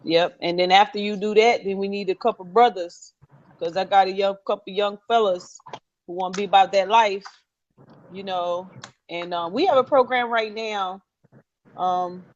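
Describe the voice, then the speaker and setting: A woman's voice: medium pitch, steady tone, normal speed. A woman co-hosting a casual talk show, talking loosely with many fillers and restarts. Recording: muffled lo-fi line.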